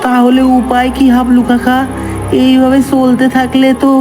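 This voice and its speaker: An earnest young village man, voiced by a woman for a cartoon, rather high and flat in melody. The delivery is insistent and determined, with music clearly behind it. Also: normal speed, lightly acted. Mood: worried, determined. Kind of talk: scripted cartoon dialogue.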